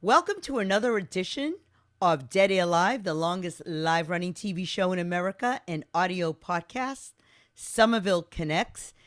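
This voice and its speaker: A woman's voice: lowish voice, animated, moderate pace. A woman hosting a talk podcast, upbeat and effusive as she introduces and questions her guest. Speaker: podcast host. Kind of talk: enthusiastic host interview.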